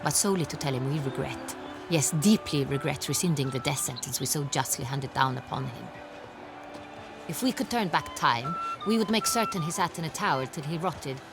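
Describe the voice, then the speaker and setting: A low female voice plays an imperious game duchess, regal and formal. She speaks as a ruler used to obedience, haughty and easily indignant. Faint music plays underneath. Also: huge pitch swings, moderate pace, exaggerated voice. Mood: vengeful indignation. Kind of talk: video game character acting.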